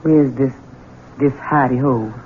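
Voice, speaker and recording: An actress playing a middle-aged household servant, anxious, bitter and deferential, in 1970s radio drama style. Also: female voice, rumbling low, measured pace, a little hiss or hum. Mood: appalled. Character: bitter, frightened older female household servant. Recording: muffled narrow-band 1970s radio broadcast.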